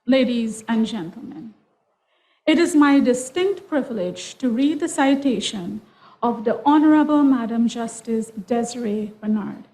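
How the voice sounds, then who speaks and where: A woman's voice: on the high side, darkish tone, rough recording. A woman reading out a formal citation in a ceremonial, carefully enunciated manner. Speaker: university lecturer reading a citation.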